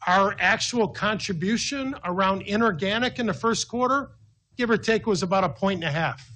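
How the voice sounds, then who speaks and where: A man's voice: high-pitched, a bit bright, rough recording. A man speaking as a finance executive on an earnings call, laying out numbered points in an emphatic, methodical way. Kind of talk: business briefing, answering an analyst with numbered points.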